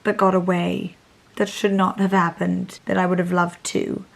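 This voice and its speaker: A woman in her thirties chatting candidly to camera in a Q&A, full of "like" and "honestly", keeping her voice low while it recovers from strain. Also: flat delivery, moderate pace, creaky. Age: 30s. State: voice still recovering, speaking low. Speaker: vlogger. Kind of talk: casual Q&A chat to camera.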